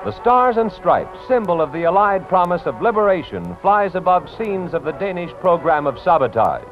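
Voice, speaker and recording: A dull, old newsreel recording with music playing strongly behind an American man narrating. His delivery is solemn and dramatic, the pitch on the high side in classic 1940s announcer fashion. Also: big pitch swings, normal speed. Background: loud orchestral music.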